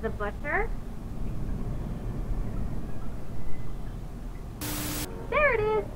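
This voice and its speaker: A woman's voice: very high-pitched, huge pitch swings, fairly quick, muffled recording, heavy background noise, exaggerated voice. A woman voicing a chirpy, instructive young girl who hosts a children's cartoon and puts questions to the viewer, with a faint menace. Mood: perky, insistent. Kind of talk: children's educational cartoon show.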